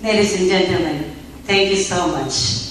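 A middle-aged woman, mother of the bride, slowly reading a welcome speech at a wedding reception, with small restarts. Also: lowish voice, heavy reverb, distant mic, background noise. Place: large reception hall. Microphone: filmed from across the room on a phone.

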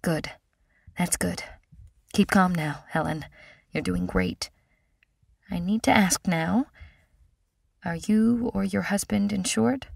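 A woman voicing an emergency operator who speaks in a measured, serenely calm way. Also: lowish voice, gravelly, breathy edge, no echo, studio quality, far from the mic, full character voice. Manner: measured, soothing, even.

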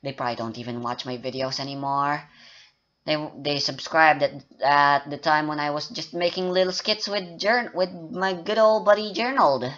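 A man's voice: a little high, normal speed, fairly bright tone, dull audio. A man rambling casually and joking at his own expense during a game development update. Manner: rambling, self-mocking, joking about his voice. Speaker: indie game developer and YouTuber.

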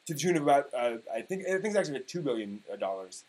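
A man in his forties giving a mock-dramatic, emphatic reading of an internet post, halting here and there over the text. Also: medium pitch, sing-song, a crowd in the background, low-quality recording. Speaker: performer reading old forum posts. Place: small room at home, indoors.

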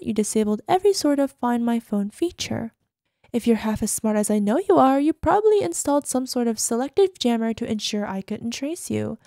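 Woman acting a high, soft obsessive girlfriend. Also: no echo, clean studio sound, exaggerated voice. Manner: breathy asides, drops into whispers, syrupy pet names. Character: obsessive possessive girlfriend, sweetly menacing, panicking over missing lover. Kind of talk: ASMR roleplay voicemail monologue.

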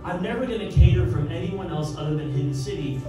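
Panelist, thirties, energetic and emphatic; handheld mic in a very echoey room, distant pickup. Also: male voice, fairly high pitch, fast. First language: English. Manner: fired-up, emphatic, talks with his hands. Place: large office event space, indoors. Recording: roomy, from afar, with music.

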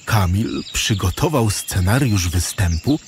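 Low, expressive male storyteller. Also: unhurried. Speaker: narrator. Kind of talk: scripted children's story narration. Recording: birdsong and ambient noise behind.

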